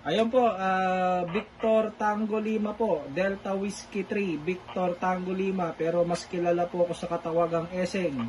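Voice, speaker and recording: A man's voice: high voice, steady tone, normal speed, hardly any pauses, darkish tone, slight background noise, low-quality recording. A polite man making a casual two-way radio call as an amateur operator, repeating himself for clarity. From Philippines.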